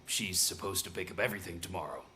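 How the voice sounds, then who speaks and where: An actor playing a kindly older neighborhood shopkeeper in a video game. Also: male voice, deep voice, fast talker, very noisy, close to an everyday voice. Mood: warm, rueful. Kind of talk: video game cutscene acting.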